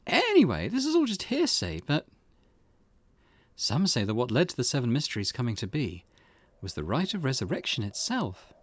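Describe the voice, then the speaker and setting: A man's voice: medium pitch, huge pitch swings, normal speed, no room sound. A man voicing a perky, teasing young woman who loves the occult, playful and eager, in a visual novel read-aloud. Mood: cheerful, eager.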